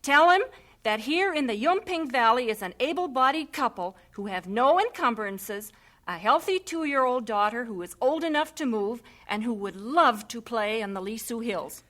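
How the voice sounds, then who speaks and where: An actress playing a resolute, devout missionary wife with wry humour in an old-time radio drama. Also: female voice, a little high, lively intonation, normal speed, a bit bright. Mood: eager, decisive.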